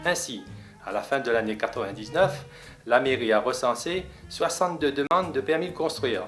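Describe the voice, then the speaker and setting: A man narrating a local documentary and chatting with residents, measured when narrating and chatty when asking. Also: mid-range voice, expressive, a bit bright, soft background music. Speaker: narrator and interviewer. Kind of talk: documentary narration and friendly questioning.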